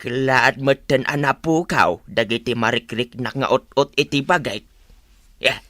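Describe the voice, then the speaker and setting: A man's voice: mid-range voice, unhurried, a bit bright, no echo, clean studio sound, lightly acted. A man with an Ilocano accent playing a stubborn, smug old husband who teases his wife in a radio comedy-drama. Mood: teasing, defiant.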